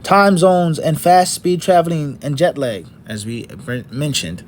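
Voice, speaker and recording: A man's voice: a little high, lively intonation, a little slow, slight background noise. A middle-aged man teaching an Islamic lesson, working through points one by one and asking rhetorical questions. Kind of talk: lecture.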